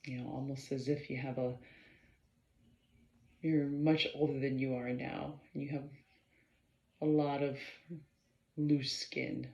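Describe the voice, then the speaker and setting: A woman leading a guided meditation in a measured, halting way, pausing between short phrases and often clearing her throat. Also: rumbling low, little pitch movement, warm tone. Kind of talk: slow guided meditation instruction. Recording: roomy, slightly dull sound.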